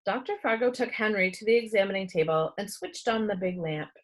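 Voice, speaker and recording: A woman reading a children's book aloud as expressive storytelling narration. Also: medium pitch, brisk, rough recording.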